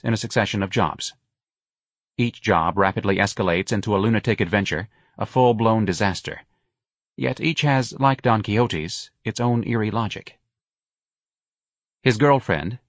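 A man narrating a literary audiobook in a measured, articulate and expressive manner. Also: fairly low pitch, no room sound, studio quality.

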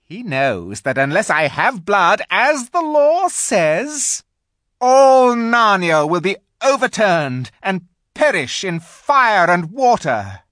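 A performer voicing a cruel, haughty witch queen in a storybook, shrill and declamatory with a snarling edge. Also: sing-song, slow, a bit bright, no echo, clean studio sound. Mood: savage, threatening.

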